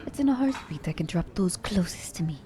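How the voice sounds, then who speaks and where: A woman playing a confident, selfish young assassin in an ASMR romance roleplay, speaking in a teasing, halting manner. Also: lowish voice, lively intonation, warm tone, natural voice. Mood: cold warning.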